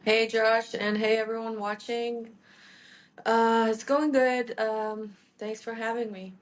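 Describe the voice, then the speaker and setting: A woman's voice: on the high side, little pitch movement, takes it slowly. Chatty woman in her thirties from Iran. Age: 30s. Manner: chatty, with frequent fillers and self-corrections. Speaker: guest. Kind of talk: casual interview conversation.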